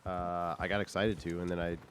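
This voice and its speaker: A man with a hoarse, scratchy voice chatting casually about games as a guest on a stream. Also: sits low, a little fast. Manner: relaxed, joking. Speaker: guest staff editor. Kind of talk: casual game chat.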